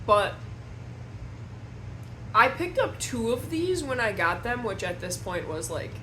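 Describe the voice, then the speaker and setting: A young woman from Wisconsin, slightly hoarse from a cold, chatting to camera at home in a rambling, self-deprecating way as a beauty vlogger. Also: fairly high pitch, sing-song, a little slow, pushed and bright, slight background noise, low-quality recording. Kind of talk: casual chat to camera. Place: at home indoors.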